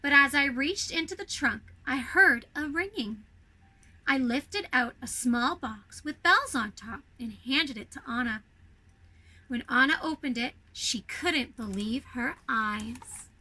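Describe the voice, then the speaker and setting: A woman reading a storybook to children as a snow queen, expressive and speaking directly to her young listeners. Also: high-pitched, slow pace, rough recording.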